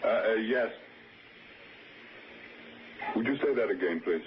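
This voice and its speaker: An actor playing a polite, fussy headmaster in a 1960s radio drama, hesitant and apologetic. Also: male voice, fairly low pitch, expressive, slow pace. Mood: embarrassed. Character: flustered, polite, fussy English boarding-school headmaster. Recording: muffled, noisy old radio broadcast.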